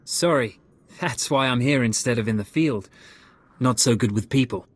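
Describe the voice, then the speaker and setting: A nervous young male desk agent played by a man with a mid-pitched voice. He is awkward and apologetic, a timid analyst in a video game. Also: normal speed, natural voice.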